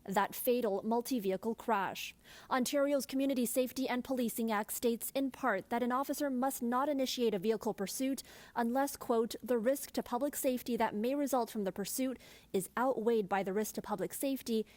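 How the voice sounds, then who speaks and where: A woman's voice: fairly high pitch, a little fast, a little mellow. A woman with a Canadian accent delivering a broadcast news report as a reporter.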